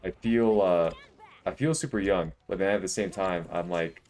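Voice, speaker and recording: A man in his twenties with a deep voice, chatting casually and jokingly while live-streaming a video game. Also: normal speed, a little mellow. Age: 20s. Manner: laid-back, joking, rambling.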